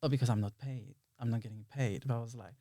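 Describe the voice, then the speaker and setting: Guy with as chatting in an interview, average pitch, really dry recording, acts out little quotes. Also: steady tone, fast talker, dark tone, no room sound. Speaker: interview guest, filmmaker and actor. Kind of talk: casual conversational interview.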